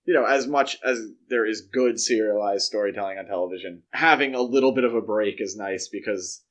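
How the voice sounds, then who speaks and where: A man hosting a podcast in casual, opinionated discussion, talking in a conversational way with measured, hedged opinions. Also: lowish voice, lo-fi sound. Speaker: podcast host.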